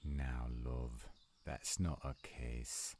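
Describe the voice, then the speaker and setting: An extremely low-pitched, gruff werewolf lover acted by a man murmurs through a romantic audio roleplay. He teases in a folksy, easygoing way and slips in endearments. Loud birdsong and insect noise from the woods surrounds him throughout. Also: steady tone, extremely slow, exaggerated voice. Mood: flirtatious, relaxed. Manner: teasing, folksy, drawling endearments. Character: gruff tsundere male werewolf, flirtatious, laid-back romantic. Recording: noisy outdoor ambience with birdsong, insects.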